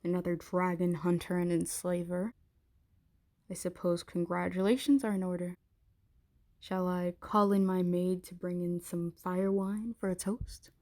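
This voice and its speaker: A woman playing a haughty, menacing dragon queen in an intimate ASMR roleplay, speaking in an imperious, taunting, deliberate way. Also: fairly low pitch, little pitch movement, a little mellow. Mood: mocking.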